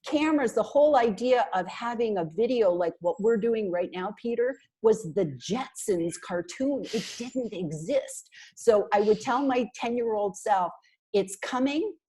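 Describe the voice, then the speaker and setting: A woman's voice: a little high, a little slow, slightly rough. A middle-aged woman from Canada, a marketing consultant, talking animatedly and emphatically as a guest on a video call through an earphone headset. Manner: animated, talks with her hands, chatty and emphatic. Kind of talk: casual video call interview. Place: small bright room indoors.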